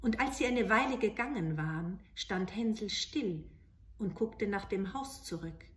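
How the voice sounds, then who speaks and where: A middle-aged woman tells a children's fairy tale in an expressive storytelling style. Her pitch is medium. She is recorded at home, with noticeable room echo. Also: unhurried, slight background noise. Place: living room with bookshelves.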